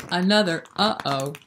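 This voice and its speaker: A woman reading a picture book aloud to children in an expressive way, pausing to explain and prompt her young listeners. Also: fairly low pitch, a little hiss or hum. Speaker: children's storyteller at a library.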